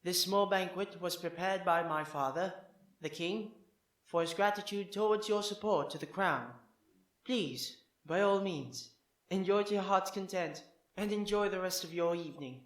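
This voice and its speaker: A man acting a proud but secretly tender young prince in an ASMR roleplay, posh and halting, teasing and easily put on the defensive. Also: high voice, a bit bright, dry recording. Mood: formal, gracious.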